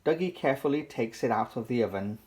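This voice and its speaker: A children's book reader giving an animated, playful, sing-song read-aloud for toddlers. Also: medium pitch, fast.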